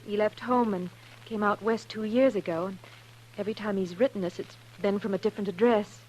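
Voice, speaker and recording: A woman's voice: mid-range voice, steady tone, brisk, natural voice. An actress playing a trusting, genteel young lady in a 1950s radio western, speaking politely and earnestly. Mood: earnest, hopeful. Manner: polite, soft-mannered, earnest. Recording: noisy 1950s radio recording with hum.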